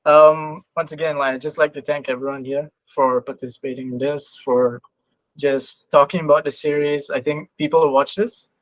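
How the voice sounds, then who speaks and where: A man's voice: a little high, steady tone, normal speed, no room sound, poor audio. A man hosting an online roundtable in a casual, chatty style. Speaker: roundtable host. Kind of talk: casual chat. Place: online roundtable call, dry sound.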